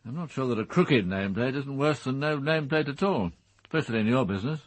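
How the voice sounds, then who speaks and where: An actor playing a blunt titled English gentleman with an upper-class accent and clipped manner in a radio drama. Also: medium pitch, expressive, quick pace, dry recording, close to an everyday voice. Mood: blunt, businesslike. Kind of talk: British radio drama acting.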